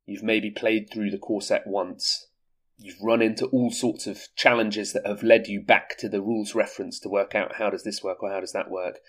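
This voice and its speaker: A man hosting a casual podcast chat, sounding a little congested from a cold and explaining things at length. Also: on the low side. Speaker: podcast host.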